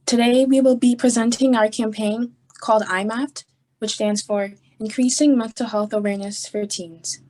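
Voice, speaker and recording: A woman's voice: on the high side, steady tone, normal speed, a little mellow, rough recording. A young woman from New York giving a prepared student presentation in a composed, scripted way over a video call. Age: young adult.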